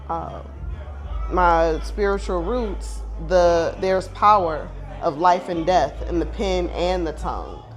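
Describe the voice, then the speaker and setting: Slow, thoughtful poet speaking. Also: mid-range voice. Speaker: poet, interview guest. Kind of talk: reflective interview answer.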